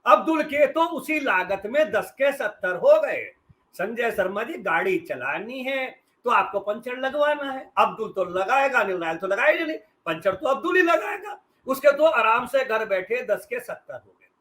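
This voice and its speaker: A man's voice: extremely high pitch, big pitch swings, moderate pace, a bit bright. A middle-aged man gives sarcastic, emphatic political commentary as a journalist on a debate panel. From western Uttar Pradesh, India.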